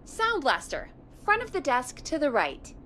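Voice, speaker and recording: An actress playing a perky, teasing radio producer in a video game, playful and bubbly. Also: female voice, high-pitched, huge pitch swings, unhurried, sharp tone, slight background noise, heavily acted. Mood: upbeat, instructing. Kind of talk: game voice acting.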